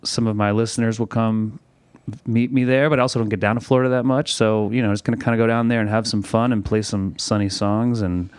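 Relaxed low-voiced man chatting. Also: fast, clean tone, no room sound. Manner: relaxed, rambling with fillers. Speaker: touring musician and songwriter guest. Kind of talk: casual podcast conversation.

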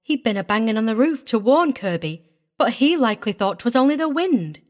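A woman's voice: a little high, big pitch swings, fairly quick, pitched above the performer's own voice. A woman voicing a simple, devoted young mountain wife in a storybook, earnest and prim, with a rural Southern US accent. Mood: apologetic, earnest.

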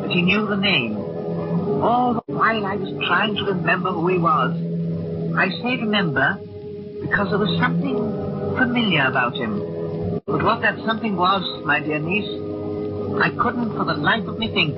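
A woman's voice: fairly low pitch, close to an everyday voice. An actress playing a frightened middle-aged aunt who recounts her ordeal in a vintage radio drama. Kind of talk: 1940s-50s radio drama acting and narration. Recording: dull old radio recording, music behind.

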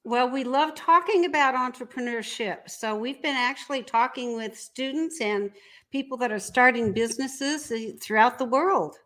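Higher-pitched woman, conversational interview guest. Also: normal speed, pushed and bright. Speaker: guest entrepreneur.

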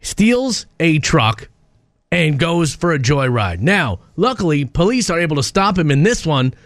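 A man's voice: a little high, big pitch swings, unhurried, dry recording, studio quality. A man co-hosting a radio show, telling stories in an informal, conversational way. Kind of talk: casual radio talk, telling a news story.

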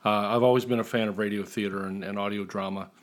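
A man's voice: on the low side, fairly even intonation, quick pace. A man talking casually and jokingly as a writer being interviewed on a podcast.

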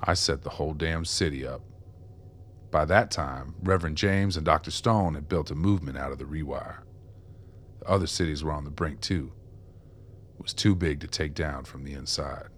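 A man's voice: very deep voice, normal speed, slight background noise. An actor playing a remorseful, ragged old ex-con in a radio drama, world-weary and streetwise as he confesses. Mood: grim confession. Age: older.

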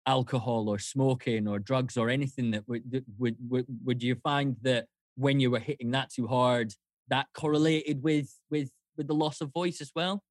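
A man co-hosting a podcast, asking thoughtful, halting questions in casual chat. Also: mid-range voice, steady tone, dry recording. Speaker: podcast co-host.

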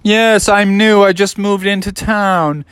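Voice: mid-range voice, fairly even intonation, a bit bright, a little hiss or hum, pitched above the performer's own voice. A storyteller as a frog boy faking suave airs. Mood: confident. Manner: affected, drawn-out. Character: young frog posing as suave newcomer Pierre.

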